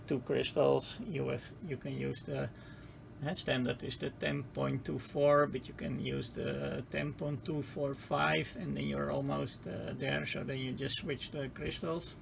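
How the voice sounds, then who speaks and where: A man giving an informal hands-on technical tutorial, speaking haltingly as he searches for words. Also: medium pitch, creaky, a little hiss or hum. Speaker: presenter of a radio repair tutorial.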